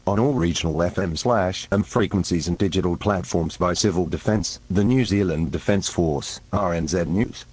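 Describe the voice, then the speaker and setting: A very low-voiced man with a husky, unclear tone makes a scripted emergency announcement. He sounds official and impersonal, keeping a measured, formal delivery. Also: a little mellow.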